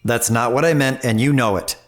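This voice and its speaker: A man's voice: medium pitch, fairly quick, close to an everyday voice. A man playing an insistent, pragmatic older senator in an audio drama, with a probing, businesslike manner. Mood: pressing, skeptical.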